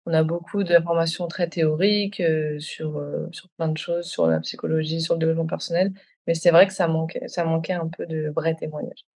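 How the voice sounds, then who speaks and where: Female podcast guest, early 30s, gravelly creak in the voice, casual and conversational personal delivery. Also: low-pitched, level tone, fairly quick, a little mellow.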